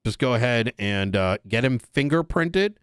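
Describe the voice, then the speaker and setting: A man's voice: mid-range voice, animated, normal speed, noisy background. A man playing a police officer in a roleplay game, talking casually with lots of hesitant fillers. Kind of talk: in-character roleplay chat.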